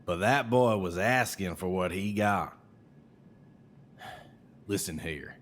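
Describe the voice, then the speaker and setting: A man's voice: sits low, takes it slowly. An actor playing a brash, sly, folksy salesman with an American Southern accent and needling, colourful idioms in an audio drama. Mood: disdainful, folksy. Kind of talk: scripted audio drama acting.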